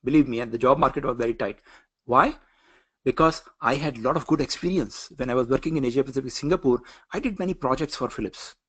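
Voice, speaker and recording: A middle-aged man from Pakistan speaks with a Pakistani accent, teaching a career coaching session. He talks earnestly and often repeats words as he thinks. His pitch is in the middle for a man. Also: fast talker, fairly bright tone, husky. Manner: earnest, repeats phrases, frequent uh fillers. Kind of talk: online coaching session lecture.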